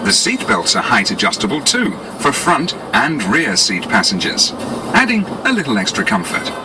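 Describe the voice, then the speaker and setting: A man's voice: medium pitch, wildly swooping pitch, normal speed, background noise. A British man reading scripted 1980s promotional narration in a polished, explanatory salesman's manner, on a cassette tape. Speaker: advertising narrator. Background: music.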